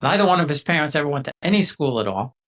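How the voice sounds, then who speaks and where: Male host, curious interviewer, fairly high voice, easy conversational questions. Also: big pitch swings, very fast, fairly bright tone.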